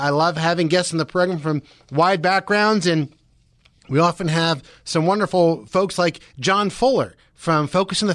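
A man's voice: on the high side, no echo, studio quality. A man hosting a radio show, giving sports and weather updates in a conversational way. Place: radio studio.

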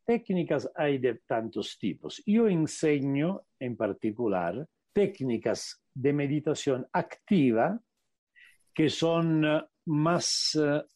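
A man with an Italian accent talks as a meditation teacher on a radio show, searching for his words and slowing down to stress key points. His pitch rises and falls a lot. The recording is dry and close. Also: a little mellow, no echo. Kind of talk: reflective interview answers.

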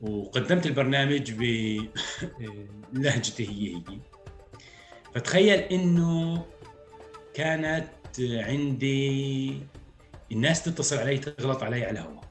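A man in his thirties with a rural Palestinian accent, talking frankly as a podcast guest, reflective and quick to laugh. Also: medium pitch. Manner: candid, reflective, laughs easily. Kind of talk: casual podcast conversation. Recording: echoey room with faint music.

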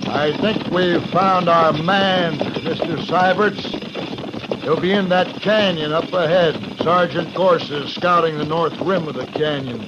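An actor playing a resolute, blunt frontier cavalry captain in a 1950s radio western, with clipped, commanding delivery. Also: male voice, on the high side, measured pace, close to an everyday voice. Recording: muffled old radio recording with clear noise.

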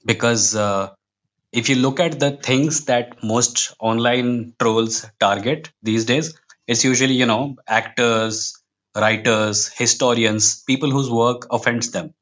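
A man's voice: mid-range voice, steady tone, takes it slowly, fairly bright tone. A man with an Indian accent talking conversationally as a podcast guest, reflective and opinionated as he lays out his points. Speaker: guest, comic book writer.

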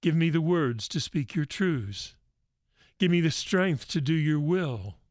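A man reads as a frightened young Jesus in a dramatic religious story. The voice sits fairly high for a male and is close and dry, earnest and pleading as he cries out to his father. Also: expressive, a little slow, darkish tone, dry recording.

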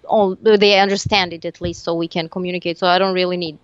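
A woman whose first language is Serbian speaks as an interview guest, hesitating and restarting often. Her voice is smooth and clean, recorded dry with slightly muffled highs. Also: flat delivery, fast talker, no room sound. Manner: hesitant, many fillers and restarts. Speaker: musician guest. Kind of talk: casual interview chat. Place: living in Tunisia.

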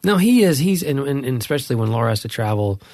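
A man's voice: medium pitch, very animated, quick pace, a little mellow, dry recording, clean studio sound. A middle-aged man co-hosting a podcast, telling stories in a hesitant, rambling way. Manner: rambling, hesitant, self-conscious. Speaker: podcast co-host. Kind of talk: casual comedic chat.

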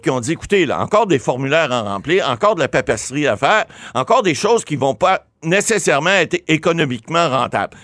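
A man's voice: fairly high pitch, fairly bright tone, no echo. A man, a lawyer being interviewed, explaining with emphasis and frequent fillers. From Ottawa. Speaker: lawyer guest. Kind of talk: radio interview commentary.

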